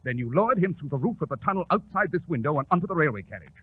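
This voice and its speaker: A man playing a shrewd, commanding detective in 1940s radio drama, explaining with crisp authority. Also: on the high side, big pitch swings, very fast. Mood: coolly triumphant. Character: shrewd, commanding English detective, coolly superior. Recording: tinny, noisy 1940s radio recording.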